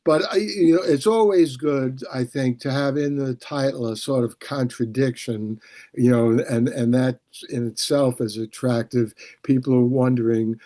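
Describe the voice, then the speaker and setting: A man's voice: medium pitch, unhurried, mellow, dry recording, clean studio sound. A man hosting a conversational interview on a video call, discursive, often repeating his words. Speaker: interview host.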